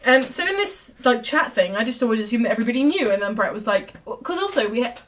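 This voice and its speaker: A woman chatting as a podcast guest, telling stories with lively acted-out dialogue. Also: on the high side, quick pace. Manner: animated storyteller who acts out quoted dialogue. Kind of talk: casual chat.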